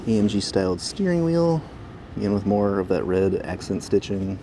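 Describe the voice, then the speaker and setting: A man, a car salesman, giving a casual walkaround tour of a vehicle at a dealership, talking in a relaxed, plain way with frequent fillers. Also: lowish voice, normal speed, a little hiss or hum.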